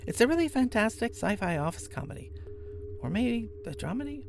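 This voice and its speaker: Woman podcast host, chatty and wry, with a high, gravelly voice and faint hum behind. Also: very animated, brisk. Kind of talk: scripted podcast recommendation. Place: workplace, on lunch break.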